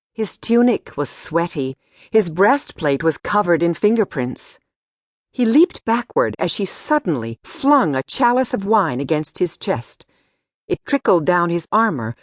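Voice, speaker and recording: A woman narrating a storybook audiobook in an expressive, deliberate way. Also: sits low, no echo, clean studio sound.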